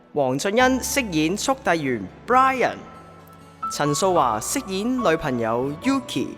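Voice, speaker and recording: A woman's voice: fairly low pitch, big-room sound. A woman with a Hong Kong Cantonese accent reading out the closing credits of a radio drama in a scripted announcement.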